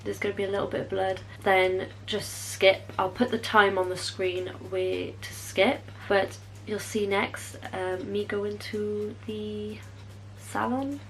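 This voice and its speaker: A woman's voice: medium pitch, steady tone, slow pace, a bit bright, a little hiss or hum, low-quality recording. A young woman, chatting to camera in a casual vlog, bubbly and rambling, correcting herself as she goes. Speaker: beauty vlogger.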